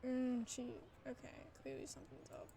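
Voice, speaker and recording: A woman's voice: high voice, flat delivery, moderate pace, mellow, slight background noise. A woman game streamer giving casual, trailing, half-muttered reactions to gameplay. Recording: game music behind the voice.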